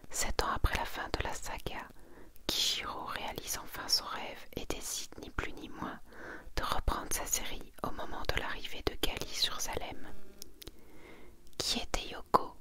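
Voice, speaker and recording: A woman whispers softly, as in an ASMR video. Her voice is breathy and barely voiced. She reads and comments in a gentle, unhurried, confiding way. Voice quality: whispered, breathy, almost entirely unvoiced. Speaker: ASMR content creator. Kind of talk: soft whispered ASMR, presenting and reading manga summaries.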